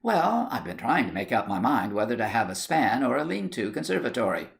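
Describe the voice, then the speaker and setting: A man's voice: mid-range voice, very animated, brisk, vocal fry. A man voicing a placid, stolid husband in a storybook tale, dry and matter-of-fact. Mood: dryly dismissive.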